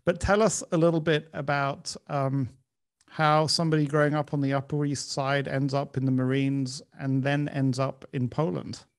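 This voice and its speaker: A man's voice: mid-range voice, little pitch movement, moderate pace. A man hosting an interview, introducing and questioning his guest in a friendly, gracious way. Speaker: host. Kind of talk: casual interview conversation.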